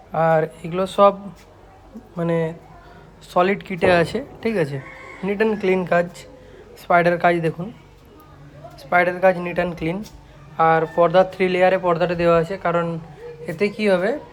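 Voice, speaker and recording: A man's voice: a little high, slight background noise. A man with a West Bengal Bengali accent presenting products in a casual vlog, repeating himself like a salesman and mixing in English words. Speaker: speaker repair shop owner and product presenter.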